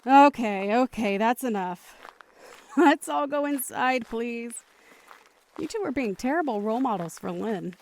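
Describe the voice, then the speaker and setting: A woman's voice: on the high side, expressive, a little slow, darkish tone. A woman playing a firm, protective, teasing mother of two in an audio drama, instructing patiently. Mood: exasperated, placating.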